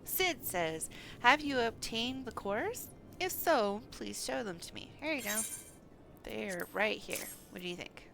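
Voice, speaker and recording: A woman game streamer giving live, expressive commentary as she plays, reacting aloud and reading dialogue. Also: a little high, unhurried, creaky. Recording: faint background noise.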